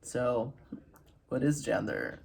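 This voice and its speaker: A woman's voice: extremely low pitch, very animated, slow, creaky, a little mellow, slightly rough. A woman hosting a casual, humorous literary commentary, with wry, irreverent asides in a conversational way. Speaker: host of a literary channel.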